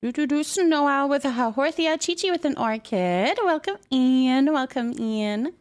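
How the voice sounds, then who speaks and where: A woman with a high-pitched voice hosts a casual livestream. She is chatty and bubbly, addressing her viewers with affection as she greets them. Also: lively intonation, unhurried. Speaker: live streamer hosting.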